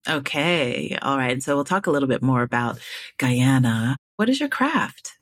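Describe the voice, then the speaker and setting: A woman's voice: a bass voice, sing-song, normal speed. A woman hosting a podcast interview, talking in an upbeat, enthusiastic way. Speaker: podcast host.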